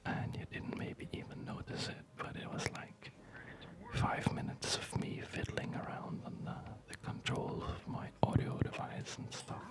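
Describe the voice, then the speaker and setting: An ASMR gaming host speaking in a soft unvoiced whisper, rambling in a reflective, hesitant way, close to the microphone. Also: measured pace, poor audio. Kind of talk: ASMR, unscripted rambling over gameplay. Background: music.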